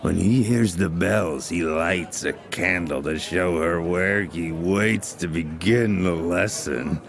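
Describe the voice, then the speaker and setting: A man's voice: low voice, slow pace. An actor playing a sly gossip in an audio drama, drawing out his suggestive tale to goad a friend. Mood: lewdly insinuating.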